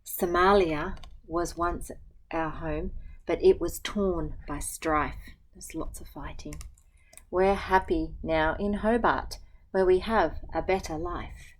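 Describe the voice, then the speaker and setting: An Australian woman, a teacher, reading a picture book aloud to children and chatting gently between pages. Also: on the low side, steady tone, slow, poor audio. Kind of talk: storytime read-aloud with asides to children.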